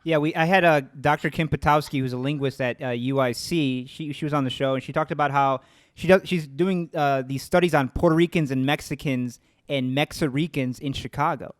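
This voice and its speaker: Chatty podcast host, dry close sound. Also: male voice, fairly high pitch, no room sound. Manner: chatty, quick to agree and add his own point. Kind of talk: casual podcast conversation.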